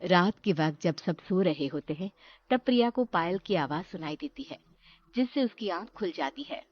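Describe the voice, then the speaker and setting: A woman's voice: on the low side, expressive, moderate pace, lo-fi sound. A woman narrating a scripted horror story as the storyteller.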